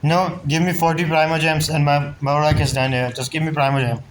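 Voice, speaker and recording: A woman's voice: deep voice, steady tone, a little fast, slight background noise. A woman game streamer giving casual, joking, offhand reactions as she plays. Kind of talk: casual gameplay commentary. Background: video game music.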